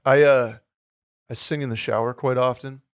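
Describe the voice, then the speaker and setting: A man co-hosting a podcast in casual chat, with a hoarse, slightly nasal voice and a rambling, upbeat way of talking. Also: medium pitch, fairly even intonation, normal speed, no echo, studio quality. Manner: rambling, filler-heavy, upbeat. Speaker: podcast co-host.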